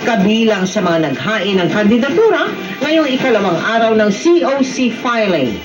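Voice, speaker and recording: A Filipino woman reads news headlines with dramatic emphasis and lively, swooping intonation. Her voice is slightly high, with an echoey broadcast sound and loud music underneath. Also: normal speed. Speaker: news anchor.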